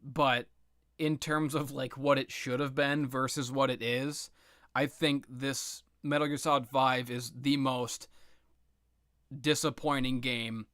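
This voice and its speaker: A man's voice: mid-range voice, level tone, slow pace, fairly bright tone, no echo, clean studio sound. A man giving an unscripted, opinionated rant about video games in a blunt, exasperated, sweary manner. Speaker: video game commentator.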